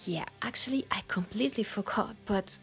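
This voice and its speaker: A woman with a French accent acting a nostalgic, playful old school friend in an intimate, casual audio roleplay, with an unhurried, teasing manner. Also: medium pitch, vocal fry, faint music behind. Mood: casual, enthusiastic. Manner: unhurried, teasing, breaking into soft laughs.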